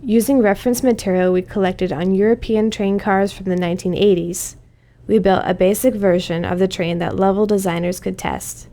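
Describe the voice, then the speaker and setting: A woman's voice: mid-range voice, steady tone, moderate pace, warm tone. A woman reading scripted commentary as a game developer.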